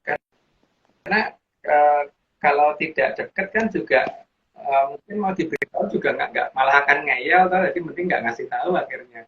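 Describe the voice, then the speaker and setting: A man's voice: a little high, normal speed. A man, explaining in casual chat and rambling through restarts and fillers. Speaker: guest explaining teachings. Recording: dull lo-fi audio with faint hiss.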